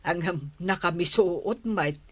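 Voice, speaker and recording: A woman's voice: lowish voice, takes it slowly. A woman with an Ilocano accent playing a sympathetic, nosy village friend in a radio comedy drama, with music behind. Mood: concerned, curious.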